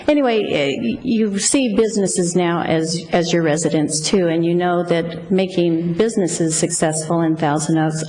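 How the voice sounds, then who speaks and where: A woman's voice: fairly low pitch, a little mellow. A middle-aged woman giving public comment at a council meeting, earnest, plainspoken and effusively grateful, at a podium microphone. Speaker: public speaker for local car dealers. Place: council chamber at a speaker podium. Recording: echoey hall with faint hiss.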